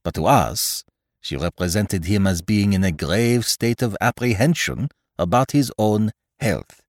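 A man voicing a shrewd, self-assured detective in a mystery audiobook, brisk, precise and gently chiding. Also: fairly low pitch, no echo, clean studio sound, close to an everyday voice. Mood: explaining, superior. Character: shrewd, self-assured, fussy male detective, calmly superior. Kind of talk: dramatised audiobook reading of a mystery story.

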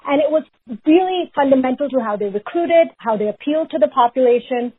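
A woman from Pakistan, a researcher answering interview questions in a measured way, often hedging with "sort of" and "if you will". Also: high-pitched, lively intonation, no room sound. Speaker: researcher and guest. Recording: phone-like remote line.

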